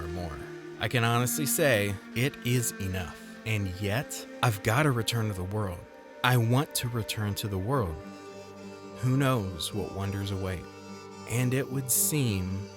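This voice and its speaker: A male game reviewer reads his script with a dry, sarcastic wit. He jumps high in pitch whenever he lands a joke. Music plays clearly beneath him. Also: slow. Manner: wry, sarcastic, with big jumps in pitch for jokes. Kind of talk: scripted comedic review narration.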